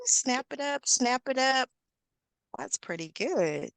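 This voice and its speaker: A woman hosts a video-call session in an unhurried, encouraging way. Her voice is bright and crisp with a gravelly edge, and the sound is dry and close. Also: high-pitched, sing-song, no echo. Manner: upbeat, encouraging, leans on filler words. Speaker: host and facilitator. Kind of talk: hosting an online info session.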